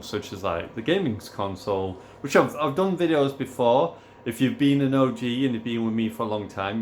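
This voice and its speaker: A man, hoarse from losing his voice, talking casually and at length to his viewers as a gaming YouTuber. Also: lowish voice, slight background noise. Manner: rambling, repeats "obviously" and restarts often. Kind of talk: casual rambling vlog talk.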